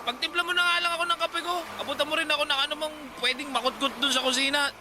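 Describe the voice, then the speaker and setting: A man playing a drunken, hot-tempered older brother in a Filipino radio drama, taunting and loud. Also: extremely high pitch, steady tone, fast talker, background noise. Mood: sarcastic, irritated.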